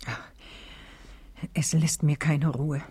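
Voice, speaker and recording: Earnest, husky, worried woman. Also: deep voice, steady tone, slow pace, dark tone, full character voice. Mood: troubled, insistent. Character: earnest, worried woman in a school, pensive and insistent. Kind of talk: German radio play acting. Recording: 1970s radio drama with music.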